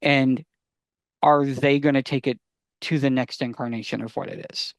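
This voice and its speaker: A man's voice: mid-range voice, fairly even intonation, moderate pace, vocal fry, no room sound. A man co-hosting a casual fan discussion, speaking conversationally and addressing listeners directly. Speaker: podcast co-host.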